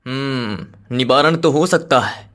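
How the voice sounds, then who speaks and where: A man voicing a solemn, measured holy sage. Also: medium pitch, a bit bright. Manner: formal, measured, Sanskritized diction. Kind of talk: cartoon moral-story acting.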